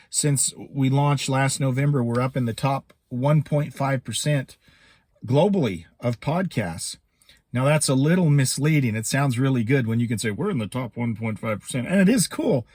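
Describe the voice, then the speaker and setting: A man's voice: medium pitch, darkish tone, no echo. A middle-aged American man giving a casual, chatty vlog update while sitting in a parked truck. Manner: conversational, chatty. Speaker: YouTube host and voiceover podcaster.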